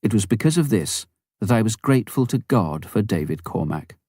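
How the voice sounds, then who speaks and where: A man's voice: on the low side, lively intonation, normal speed, dark tone, husky, no room sound, clean studio sound. A man reading a memoir aloud as scripted audiobook narration. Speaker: audiobook reader.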